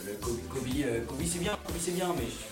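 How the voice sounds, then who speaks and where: A man's voice: medium pitch, lively intonation, a little fast, distant mic. A young man hosting a casual student radio chat, joking, swearing and repeating himself. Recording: echoey room, off-mic, music behind.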